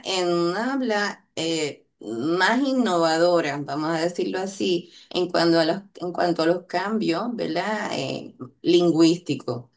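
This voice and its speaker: A Puerto Rican woman with a slightly low voice talks through her linguistic research at an unhurried pace. She explains carefully, often stalling on fillers and tagging her points with little questions to the listener. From Puerto Rico. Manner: hesitant, frequent "eh" fillers and "¿verdad?" tag questions. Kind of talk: unscripted academic explanation.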